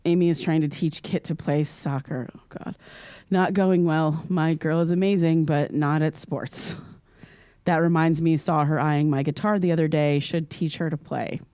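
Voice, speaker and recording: A woman's voice: sits low, steady tone, moderate pace, mellow, dry recording, close to an everyday voice. A wry, self-deprecating woman podcaster, played by an actress, talks to herself in an audio drama. The sound is close and dry. She halts, mutters asides and drifts between reading aloud and musing.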